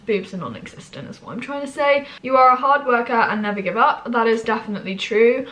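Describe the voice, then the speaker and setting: A woman in her twenties from Britain, croaky and hoarse from a cold, chatting to camera in a rambling, casual way. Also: mid-range voice, a bit bright, rough recording. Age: 20s. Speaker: vlogger.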